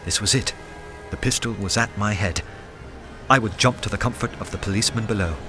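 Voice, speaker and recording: Man playing a low-voiced, anxious undercover detective. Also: over music, natural voice. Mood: tense resolve. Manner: dramatic, earnest, measured. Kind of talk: first-person radio play narration.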